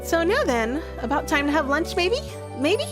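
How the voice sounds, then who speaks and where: Woman playing a very high, bubbly teenage girl. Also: moderate pace, over music. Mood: eager. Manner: gushing, brightly excitable.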